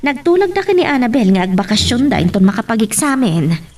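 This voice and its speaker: Village mother for Ilocano radio drama, played by a woman: practical, fussy, lively intonation, recorded a little distantly. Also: fairly high pitch, fairly quick. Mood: concerned. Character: middle-aged village mother and wife, practical, fussing. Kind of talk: Ilocano radio-style family drama acting. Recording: distant mic, faint background noise.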